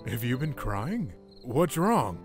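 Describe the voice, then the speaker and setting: Man as expressive fatherly goat monster. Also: medium pitch, takes it slowly, over music. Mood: concerned. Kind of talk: amateur comic dub acting.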